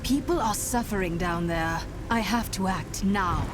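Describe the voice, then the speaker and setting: A woman's voice: mid-range voice, a little slow, noisy background, lightly acted. An actress playing a resolute woman warrior in a video game, solemn and determined in delivery. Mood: urgent. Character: resolute woman warrior hero, stern and compassionate. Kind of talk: video game dramatic acting and narration.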